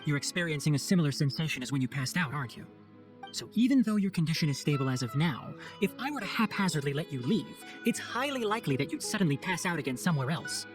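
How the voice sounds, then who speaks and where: A man's voice: fairly high pitch, very animated, fast talker. An actor playing a clever, exacting young male chief forest ranger in a video game, articulate and instructive with dry sarcasm. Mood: cautioning. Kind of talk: video game cutscene acting. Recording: faint music behind the voice.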